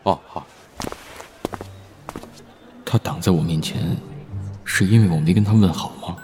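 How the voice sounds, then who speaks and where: A man's voice: sits low, measured pace, warm tone. A man acting a polite, bewildered, modest actor in an audio drama, in a natural manner.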